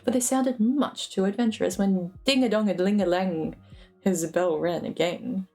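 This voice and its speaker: A woman's voice: medium pitch, fairly even intonation, normal speed, a little mellow, faint music behind. A woman doing an amateur dramatic reading of a storybook as a streamer. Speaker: streamer co-reading a novel aloud.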